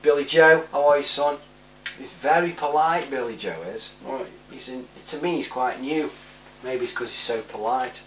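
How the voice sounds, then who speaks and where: A man's voice: medium pitch, normal speed, a bit bright. A man in his forties talking casually to camera, rambling in a matey, informal way. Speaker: amateur video presenter. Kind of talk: casual chatty shout-outs to camera. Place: small living room, indoors. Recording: roomy, distant mic with hum.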